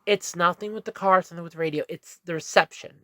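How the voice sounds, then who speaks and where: A woman's voice: on the low side, fairly even intonation, fast talker, pushed and bright, no echo, studio quality. A woman with a stuffy nose talking to camera in a candid, meandering vlog monologue, correcting herself as she goes, recorded in a room at home. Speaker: vlogger. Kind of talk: casual rambling monologue.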